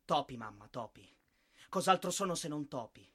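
An actor playing a bitter, disillusioned young soldier killed in war, in a husky, theatrical monologue. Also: male voice, fairly high pitch, expressive, normal speed, fairly bright tone. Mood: bitter, anguished. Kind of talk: dramatic stage monologue, radio drama.

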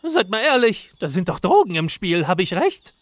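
A woman's voice: mid-range voice, sing-song, normal speed, dry recording. A woman voicing a boastful little male gnome warlock in a parody audio drama, talking in a pompous, whiny way.

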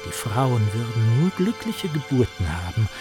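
A man playing a lurid storyteller in a German radio play, theatrical and insinuating, at times sinking to a breathy murmur. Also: medium pitch, sing-song, moderate pace, over music, lightly acted. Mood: sinister, gloating. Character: Herr Hund, a man spinning lurid hateful tales with relish.

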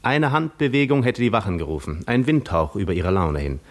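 Cunning Greek war veteran, low voice, measured, reflective, self-assured, articulate, 1950s radio play monologue. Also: male voice, sing-song. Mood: musing, wry. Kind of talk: 1950s radio drama monologue, literary first-person narration.

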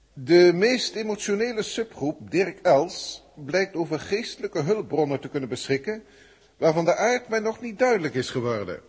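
A man with a Netherlands Dutch accent playing a haughty, learned alien senior scientist in a 1960s radio drama, speaking in formal, pedantic, theatrical phrases with a husky voice. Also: on the high side, exaggerated voice.

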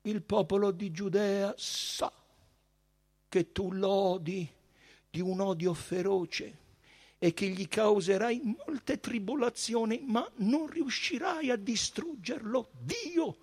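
A dignified high priest of Judea from a storybook, played by a man reading aloud. The voice is pitched high, well above an everyday male register. He is solemn and deliberate, with fervent defiance in his manner. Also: husky, heavily acted. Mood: calm defiance.

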